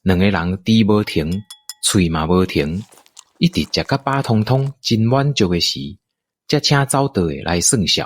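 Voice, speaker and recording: Animated, low-voiced Taiwanese male storyteller. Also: moderate pace. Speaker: narrator. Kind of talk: scripted radio drama storytelling.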